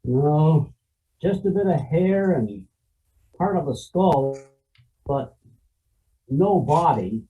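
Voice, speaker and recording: A man's voice: fairly high pitch, expressive, slow pace, long pauses. A man playing a mild, bemused nineteenth-century husband in an amateur stage play reading. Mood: grave. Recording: distant, dull lo-fi mic.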